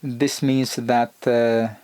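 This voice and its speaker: A man giving an emphatic explanatory lecture on health, often rising sharply in pitch on key points and restarting his sentences. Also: level tone, very slow, a little mellow, clean tone.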